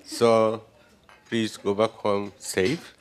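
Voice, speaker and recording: An older man giving a halting, joking speech at a gala dinner, with many pauses and fillers. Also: on the low side, little pitch movement. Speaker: gala speaker, society president. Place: banquet hall.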